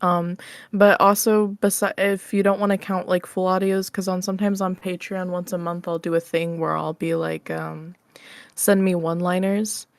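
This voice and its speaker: A woman speaks as a guest in a casual interview. Her voice sits a little low and is recorded dry and close. She is informal and modest about herself, often softening what she says. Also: level tone, moderate pace, creaky, no echo. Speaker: podcast guest, ASMR roleplay creator. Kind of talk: casual chat, answering interview questions.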